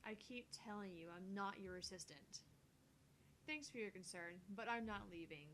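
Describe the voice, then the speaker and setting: A woman's voice: mid-range voice, lively intonation, moderate pace, fairly bright tone, lightly acted. Brief: proud young female scientist acted by a woman, visual novel, firm, stubborn, slight background noise. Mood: defiant, firm.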